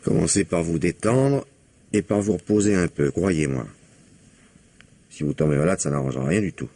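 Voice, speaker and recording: Very deep, husky police commissioner. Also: male voice, normal speed, dark tone. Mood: politely insistent. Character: male police commissioner, probing, courteous, unhurried investigator. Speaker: radio drama actor. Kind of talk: French radio mystery drama acting.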